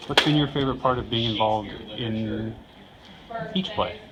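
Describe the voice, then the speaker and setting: A man's voice: mid-range voice, normal speed. A man interviewing fellow participants in a casual, chatty backstage style. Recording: dull sound amid loud crowd chatter. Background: busy crowd chatter.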